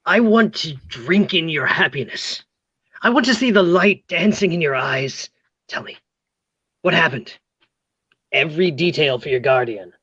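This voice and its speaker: A woman acting a nosy, motherly confidante hungry for romance, husky and theatrical in a comic play read. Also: sits low, expressive, unhurried, long pauses, fairly bright tone, no echo, heavily acted. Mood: eager, prying. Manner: theatrical, breathlessly prying. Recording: dull lo-fi, close dry sound.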